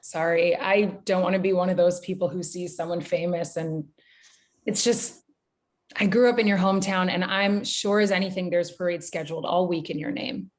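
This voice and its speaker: A woman acting a sharp-tongued fan in her early thirties in a screenplay table read, with a wry, conversational delivery. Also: lowish voice, fairly even intonation, moderate pace, close to an everyday voice. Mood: starstruck.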